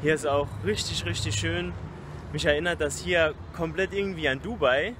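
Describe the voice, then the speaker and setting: A man's voice: fairly high pitch, steady tone, moderate pace. A young man from Germany talking casually to the camera as a travel vlogger, outdoors at arm's length from the mic. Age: young adult. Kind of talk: casual vlog talk to camera. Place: outdoors on a sunny waterfront promenade. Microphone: camera or phone at arm's length. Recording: noisy outdoor recording, city and traffic ambience.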